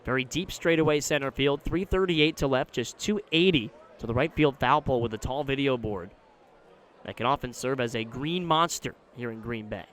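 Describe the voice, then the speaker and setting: A man doing live radio play-by-play commentary of a baseball game, describing the action in a measured way that rises when the play picks up. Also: mid-range voice, a bit bright. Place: live at an outdoor ballpark.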